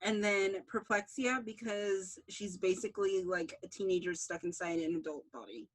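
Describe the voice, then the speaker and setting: A woman being interviewed as a writer, chatting casually in an animated, self-deprecating way. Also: medium pitch, moderate pace, low-quality recording.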